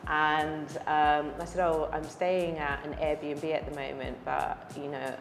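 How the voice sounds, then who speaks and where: A woman's voice: low voice, flat delivery, measured pace. A woman from the UK, a guest chatting casually and rambling with many fillers and restarts. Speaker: interview guest. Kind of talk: casual conversational storytelling. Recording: roomy reverberant room with faint music.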